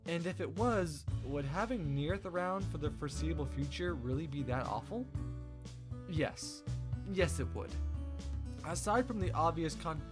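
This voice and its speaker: Male playthrough host, animated higher voice, casual expressive read-aloud, music clearly behind. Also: unhurried. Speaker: let's play host. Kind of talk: casual visual novel playthrough, reading aloud. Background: game music.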